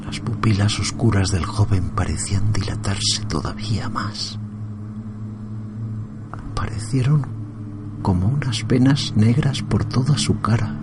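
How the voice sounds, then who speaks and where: A man narrating a first-person horror story in a suspenseful, drawn-out, often hushed way. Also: mid-range voice. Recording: loud music under the voice. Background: loud music.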